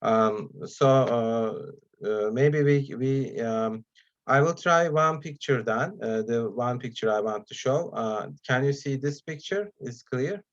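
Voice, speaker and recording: A man whose first language is Turkish gives an online talk as guest speaker, pausing often with fillers between phrases. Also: medium pitch, muffled recording. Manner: hesitant, many pauses and fillers.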